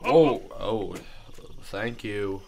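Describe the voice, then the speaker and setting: Man playing an odd, low-voiced befuddled brainiac. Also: very slow, creaky, a crowd in the background, heavily acted. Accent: odd, shifting put-on accent. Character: odd, befuddled male brainy sidekick with giant wobbly head.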